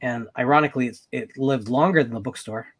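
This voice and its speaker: A man's voice: mid-range voice, fast. A man hosting a casual live-stream chat, congested from a head cold and often restarting his sentences. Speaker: podcast host.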